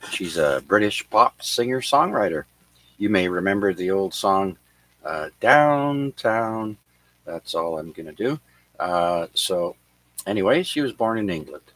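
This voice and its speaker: A man hosting an amateur radio net, reading notes on who was born and who died on this day, with many filler pauses. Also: low-pitched, lively intonation, fairly bright tone, dry recording, low-quality recording. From Canada. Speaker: radio net control host. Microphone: radio transceiver microphone.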